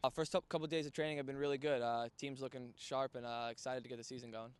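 A man's voice: medium pitch, steady tone, fast, slight background noise. A young man from Long Island speaking with many hesitations into a handheld mic on a soccer field. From Long Island, New York. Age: young adult. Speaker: college soccer recruit. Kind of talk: casual on-camera interview.

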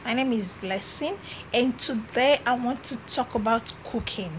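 A woman's voice: a little high, unhurried, slight background noise, low-quality recording. A young woman vlogging to her phone camera from a small room, chatting in a casual, encouraging way and often restarting her phrases. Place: small room indoors, seated on a red couch. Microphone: phone camera at arm's length.

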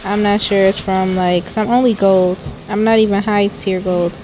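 A young woman giving a casual voice-over on her gameplay, talking quietly and softly into a close microphone with lots of "like" fillers. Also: mid-range voice, normal speed, rough recording. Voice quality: quiet, soft-spoken. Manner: chatty, frequent "like" fillers. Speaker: gameplay commentator. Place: lying down at home. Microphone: microphone held close to mouth. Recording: steady background noise.

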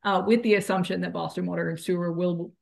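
Female project representative, low-leaning creaky voice, polite formal comment over a video call. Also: fairly even intonation, fast, a little mellow. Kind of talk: formal comment at a public meeting.

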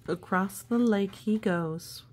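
A woman reading a children's storybook aloud expressively, with gentle asides and hushed, suspenseful phrasing. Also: mid-range voice, slow. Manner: expressive, hushed suspense, often dropping to a whisper. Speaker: storybook reader.

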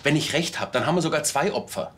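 A man's voice: fairly high pitch, expressive, fast talker, fairly bright tone, a little hiss or hum. An actor playing an eager, apologetic junior policeman in a TV crime comedy. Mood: excited, suspicious.

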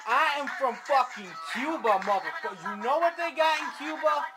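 Young guy doing a loudmouth drunk tough guy, high-ish voice, picked up on a camera mic. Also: lively intonation, moderate pace, over music. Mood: bragging. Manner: bragging, belligerent, profane. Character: hot-headed drunk young male blowhard Picanto. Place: cluttered garage at night.

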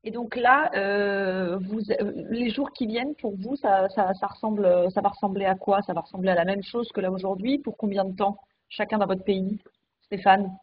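A woman's voice: mid-range voice, fairly even intonation, moderate pace. Woman, news host, questioning, hesitant, earphone mic, dull audio with faint hiss. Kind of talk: moderating remote interview. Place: home room, indoors. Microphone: earphone mic on video call. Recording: dull video call audio, faint noise.

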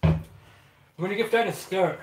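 High-voiced man with a slight Irish accent. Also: normal speed, noisy background. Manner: chatty, addresses viewers as guys, many fillers. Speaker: cooking vlogger. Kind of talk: casual live cooking talk. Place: small home kitchen.

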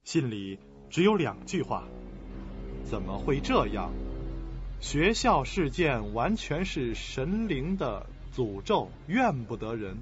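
A man's voice: mid-range voice, very animated, slow. A man playing a serious young man who reports in a matter-of-fact way in a suspense radio drama. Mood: grave, reciting. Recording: dull lo-fi audio. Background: faint music.

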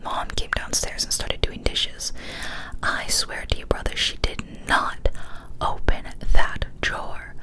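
A young woman reading a scary story as whispered ASMR, soft, breathy and deliberate, close to a studio microphone. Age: young adult. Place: small decorated indoor room. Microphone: large studio microphone, close up.

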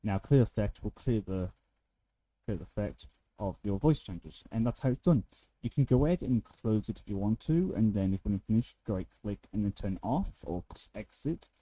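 A man giving a casual, unscripted software tutorial in an informal, offhand way as the presenter. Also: on the low side, expressive, normal speed, no echo, poor audio.

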